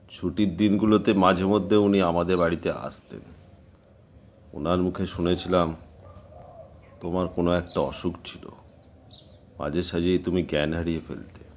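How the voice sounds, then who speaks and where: A man acting a grave, guarded middle-aged village father in an audio drama, speaking in a measured, cautionary way. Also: sits low, a little mellow, slight background noise.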